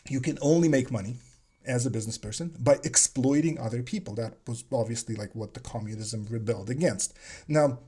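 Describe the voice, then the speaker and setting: A man's voice: medium pitch, darkish tone. A man, talking alone about the business mindset in a reflective way and often repeating words as he searches for phrasing. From Soviet Union (Leningrad). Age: 40s. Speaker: business coach. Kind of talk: solo talk on business mindset.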